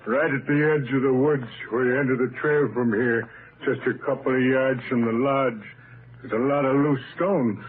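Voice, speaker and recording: A man's voice: mid-range voice, fairly even intonation, moderate pace, lightly acted. An actor playing a plain-spoken, folksy old woodsman in a 1930s radio drama. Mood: matter-of-fact. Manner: folksy, ungrammatical, matter-of-fact. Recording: tinny, noisy 1939 radio broadcast.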